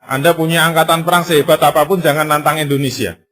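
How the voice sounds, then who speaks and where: A male Islamic preacher giving a sermon, full of rhetorical questions and emphatic repetition, lively and sometimes joking. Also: fairly high pitch, fast talker. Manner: lively, conversational and jokey in places.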